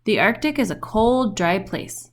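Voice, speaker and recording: A woman reading a children's book aloud in an expressive storytelling style with questioning intonation. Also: medium pitch, unhurried, slight background noise.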